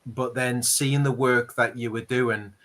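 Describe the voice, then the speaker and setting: Geordie male host, bright tone, earnest and a little rambling, mid-pitched. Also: fairly even intonation, unhurried. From Northeast England. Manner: earnest, rambling, repeats words. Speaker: interview host, charity ambassador. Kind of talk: casual conversational interview.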